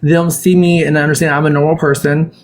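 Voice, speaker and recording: A woman's voice: low-pitched, level tone, brisk, darkish tone. A woman hosting a casual live chat who asks long, rambling questions and talks encouragingly to her guest. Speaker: host of a live panel.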